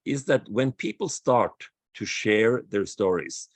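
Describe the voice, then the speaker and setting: A man speaking as a panelist in a webinar, slow and measured, repeating phrases for emphasis over a video call. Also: lowish voice, lively intonation, no echo. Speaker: dialogue practitioner, panelist. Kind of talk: webinar talk, reflective.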